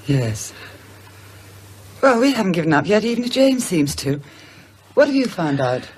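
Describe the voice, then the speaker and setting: An actress playing a brisk, determined British woman in a radio drama, bossy and clipped. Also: very animated, slightly rough. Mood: brisk, purposeful. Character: brisk, determined woman leading a secret plan. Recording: loud vehicle rumble and ambience.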